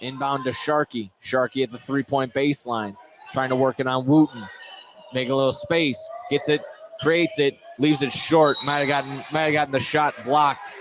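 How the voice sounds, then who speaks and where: A man's voice: medium pitch, fairly even intonation, normal speed. A man doing live play-by-play basketball commentary for radio, repeating and correcting himself as he calls the action. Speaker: play-by-play sports announcer. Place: indoor basketball gym, courtside vantage point. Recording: clearly noisy gym ambience, bouncing balls. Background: gym noise and basketball bounces.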